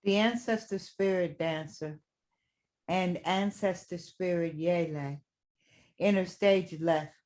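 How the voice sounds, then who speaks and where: Slow, low-pitched woman narrator. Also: a little mellow. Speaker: stage directions narrator. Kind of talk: scripted narration for a staged play reading.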